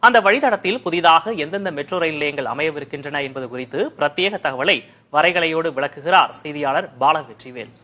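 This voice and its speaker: A man's voice: a little high, lively intonation, a little fast, bright, forceful tone. A man reading a scripted news introduction as a presenter.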